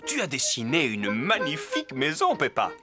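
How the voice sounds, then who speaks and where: A courteous, earnest gentleman rabbit from a children's cartoon. He is precise and office-minded, with lively intonation and music in the background. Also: mid-range voice, moderate pace. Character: Monsieur Rabbit, polite earnest male rabbit office worker. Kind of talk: preschool cartoon dub.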